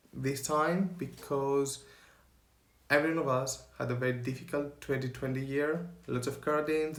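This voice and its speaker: Young Greek-accented man, slow and halting, gravelly voice, echoey room. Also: mid-range voice. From Greece. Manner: slow, halting, searching for words. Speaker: vlogger. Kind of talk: earnest talk to camera.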